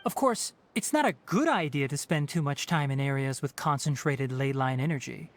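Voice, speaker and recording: A young fox-eared ranger and scholar explains with clipped, matter-of-fact precision, as a man voices him. His pitch sits at a middle height but swings widely as he lectures, brisk and a touch curt, in a video game cutscene. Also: lively intonation, close to an everyday voice. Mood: lecturing. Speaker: forest ranger and researcher.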